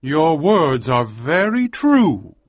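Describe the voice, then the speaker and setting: A man's voice: on the high side, very animated, no room sound, muffled recording, pitched above the performer's own voice, heavily acted. A man voicing an obsessive, devoted scientist-husband. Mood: grave. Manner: earnest, deliberate, drawn-out delivery. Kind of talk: audiobook character reading.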